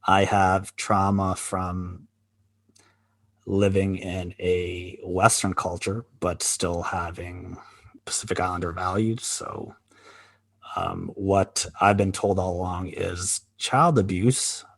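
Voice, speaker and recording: A man co-hosting a podcast, talking candidly in a halting, reflective way with many pauses and restarts. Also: sits low, steady tone, vocal fry, fairly bright tone, dry recording. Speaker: podcast co-host. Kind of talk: candid conversational chat.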